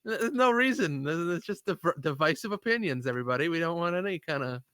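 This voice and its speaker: Bright, smooth, higher-voiced man. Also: animated, fast talker, dry recording, studio quality. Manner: rambling, lots of fillers and agreement. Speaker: podcast co-host. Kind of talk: casual chat.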